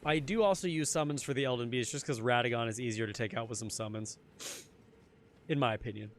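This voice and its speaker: A young man streaming a game, chatting casually with his viewers, his voice a little hoarse. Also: medium pitch, fast, a little hiss or hum. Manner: chatty, answers chat, repeats phrases. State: slightly hoarse. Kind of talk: casual live-stream chat while gaming.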